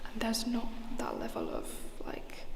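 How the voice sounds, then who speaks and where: A woman with a southern English accent giving a casual travel vlog in a hushed voice, often dropping to a whisper. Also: fairly high pitch, huge pitch swings, unhurried, gravelly. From England. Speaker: travel vlogger. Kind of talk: casual vlog narration to camera. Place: touring a castle, often inside its stone rooms.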